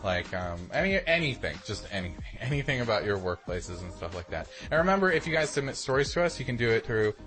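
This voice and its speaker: A man's voice: medium pitch, sing-song, quick pace, hardly any pauses, a bit bright, faint music behind. A man co-hosting a podcast in a casual, rambling, informal way. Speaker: podcast co-host.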